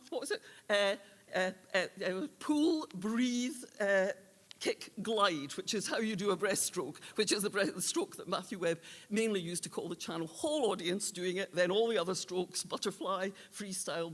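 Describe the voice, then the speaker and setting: Woman presenting awards in a reverberant hall. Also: medium pitch. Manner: hesitant, searching for words. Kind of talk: unscripted speech introducing award winners.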